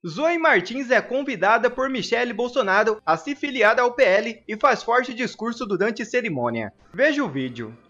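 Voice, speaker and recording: Bright, high male news reader. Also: expressive, moderate pace, hardly any pauses, lo-fi sound. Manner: partisan, sensational read. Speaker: news channel narrator. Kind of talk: reading news headlines.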